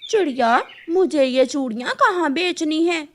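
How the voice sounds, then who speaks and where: Lady doing a bratty girl bird for a cartoon, really high and swoopy, whiny and quick to argue. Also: animated. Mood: earnest. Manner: quarrelsome, whiny. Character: hot-tempered young female bird, rude and sulky.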